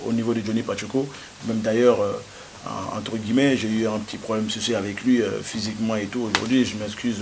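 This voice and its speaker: A man's voice: lowish voice, darkish tone, slight background noise. A young man born in France, answering interview questions with frequent hesitations and restarts. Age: young adult. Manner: halting, many hesitations and restarts.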